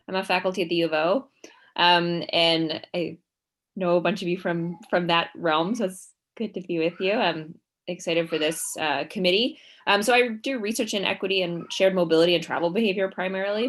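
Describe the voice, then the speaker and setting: Earnest woman researcher, lowish voice. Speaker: university researcher. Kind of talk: committee self-introduction.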